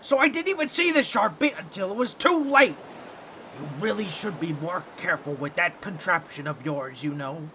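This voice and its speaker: High, squawky, excitable cartoon octopus. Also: male voice, moderate pace, a little hiss or hum, exaggerated voice. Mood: indignant, scolding. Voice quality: nasal, squawky comic voice. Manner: theatrical, fussy, pompous wording. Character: excitable, boastful, tactless talking octopus explorer. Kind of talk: scripted comedic audio roleplay, addressing the listener.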